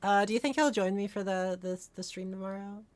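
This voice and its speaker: A woman's voice: mid-range voice, fairly quick, dry recording. A woman hosting a livestream in casual chat, hesitant and often repeating her words, with music behind her. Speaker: livestream host, vtuber streamer.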